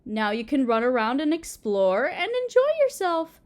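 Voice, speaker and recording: High, sing-song doting Mother Earth goddess, acted by a woman. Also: very animated, normal speed. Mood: delighted doting. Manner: sweet, cooing, motherly, talking as to a small child. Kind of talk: scripted roleplay audio drama.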